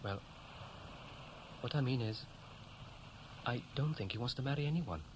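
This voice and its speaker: A man's voice: mid-range voice, unhurried, natural voice. An actor playing a restless, evasive young Welshman in a 1960s radio play, speaking in a hesitant, colloquial way. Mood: doubtful, frank. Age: young adult. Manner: hesitant, colloquial, self-correcting. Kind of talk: 1960s radio play acting, naturalistic dialogue. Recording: noisy vintage 1960s radio recording.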